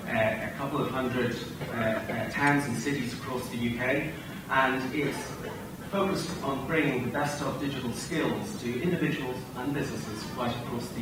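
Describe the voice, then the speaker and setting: A man with an Irish accent giving a measured conference panel speech, often pausing on uh. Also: mid-range voice. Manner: measured, frequent uh fillers. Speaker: tech company executive panelist. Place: large hotel conference hall. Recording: echoey hall, distant mic, noisy.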